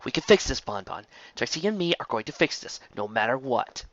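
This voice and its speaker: A man's voice: mid-range voice, sing-song, a little fast, fairly bright tone, dry recording. A man voicing an earnest young female pony turned beast, apologetic and soothing, in a storybook reading on a lo-fi recording. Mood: insistent. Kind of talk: acted storybook dialogue.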